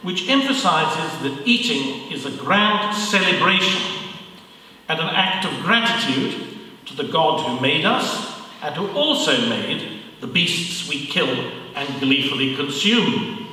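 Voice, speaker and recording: A man with an English accent giving a prepared lecture to an audience, with dry, measured delivery and wry asides. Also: a little high, sing-song, bright, forceful tone. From England. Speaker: guest speaker. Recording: echoey hall from far off, faint hiss.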